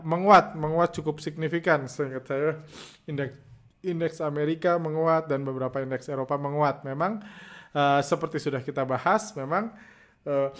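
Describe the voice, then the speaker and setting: A man with a hoarse voice from a heavy cold, hosting a stock trading podcast in a halting, casual way with many filler sounds. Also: fairly high pitch. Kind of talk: casual market commentary.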